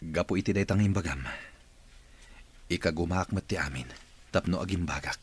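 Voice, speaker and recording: A man's voice: sits low, moderate pace, husky. A man playing an ailing, solemn boss who longs to recover, in a radio drama with an Ilocano Filipino accent. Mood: earnest, hopeful.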